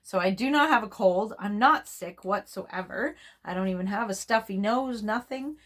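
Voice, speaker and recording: A woman in her fifties with a hoarse, froggy, strained voice, chatting casually in a rambling way and laughing often, speaking off camera at home. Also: medium pitch, moderate pace, fairly bright tone. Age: 50s. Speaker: reborn doll vlogger.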